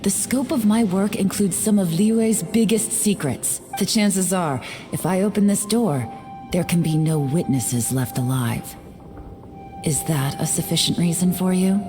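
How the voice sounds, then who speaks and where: A woman's voice: lowish voice, measured pace, in a large hall, music in the background, close to an everyday voice. A woman playing a guarded, sardonic secret agent in a video game, speaking in a dry, blunt way. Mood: menacing. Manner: dry, blunt, quietly threatening. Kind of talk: animated video game voice acting.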